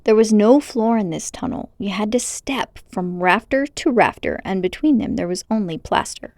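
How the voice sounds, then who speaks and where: Woman, storybook narrator, expressive read-aloud, medium pitch, lively intonation, dry sound. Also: normal speed, no echo.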